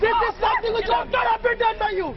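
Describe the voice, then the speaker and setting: Agitated shouting woman protester in a crowd. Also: very high voice, steady tone, fast talker. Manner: agitated, repetitive, accusing. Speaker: protesting lawyer. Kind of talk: angry shouted confrontation. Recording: muffled field recording amid crowd.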